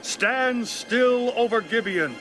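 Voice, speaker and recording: A man playing a commanding ancient Israelite leader in a drawn-out, declamatory proclamation. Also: very high-pitched, animated, background noise, heavily acted. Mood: commanding, fervent.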